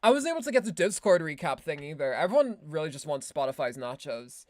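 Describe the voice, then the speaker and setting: An Irish woman, a voice actor, chatting casually on a stream with quick self-mocking asides and laughs. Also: sits low, big pitch swings, no echo, studio quality. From Ireland. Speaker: voice actor and streamer reacting to a game video. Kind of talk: casual chat.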